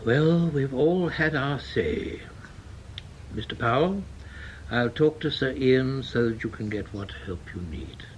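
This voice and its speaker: An actor playing an authoritative senior British intelligence chief in a radio drama, speaking in a formal, deliberate, businesslike way. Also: male voice, mid-range voice, lively intonation, slight background noise, poor audio. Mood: measured, businesslike.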